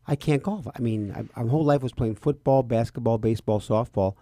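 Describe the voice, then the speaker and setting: A man's voice: medium pitch, fairly quick, mellow, no echo, studio quality. A middle-aged or older man, a priest chatting as a radio host and often restating his phrases. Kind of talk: conversational radio talk.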